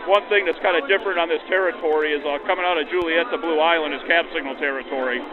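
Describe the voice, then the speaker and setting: Train engineer guy talking quick outside, slightly high voice, old radio filter, crowd around. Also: steady tone, narrow, tinny audio. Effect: vintage radio filter. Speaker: train engineer and operations manager. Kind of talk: informal technical interview answers. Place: outdoors near a locomotive. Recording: thin radio-like sound, busy crowd outside.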